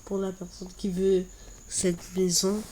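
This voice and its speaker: A woman with a cold and a stuffy voice, chatting slowly through a building game, often repeating herself. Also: mid-range voice, little pitch movement, a little mellow, slight background noise. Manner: slow, repeats words while building. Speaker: Minecraft YouTuber. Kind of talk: casual gameplay commentary.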